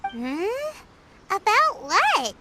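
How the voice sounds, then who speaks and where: A woman voicing a small, childlike fairy companion in a video game, plucky and caring, with a squeaky voice. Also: huge pitch swings, extremely slow, background noise, full character voice. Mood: puzzled. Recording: loud background music.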